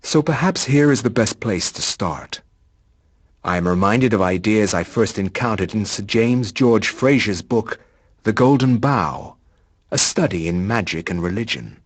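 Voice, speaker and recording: An actor playing a grave, pensive scholar narrating a dark legend for a video game in a measured manner. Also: male voice, fairly low pitch, animated, breathy edge, no room sound. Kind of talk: scripted dramatic game narration.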